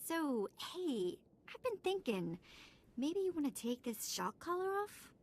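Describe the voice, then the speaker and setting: A woman's voice: sits high, very animated, unhurried, slight background noise, full character voice. A woman playing a cheeky young female sidekick in a video game, casual and playfully sarcastic. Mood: wry, hopeful. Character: wry, cheeky young female companion, freed slave. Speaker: voice actor in a game. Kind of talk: cinematic video game acting.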